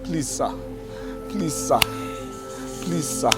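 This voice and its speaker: A man's voice: fairly high pitch, lively intonation, drawn-out words, loud music behind the voice. A young man playing a remorseful husband and father who pleads over and over for his family's forgiveness. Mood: pleading. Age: young adult. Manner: pleading, repeating himself. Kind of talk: film drama acting.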